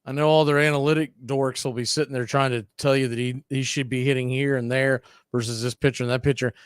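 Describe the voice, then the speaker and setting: A man calling baseball play-by-play for a fan radio stream, mixing pitch calls with blunt opinionated asides. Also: flat delivery, fast, smooth, no echo, clean studio sound.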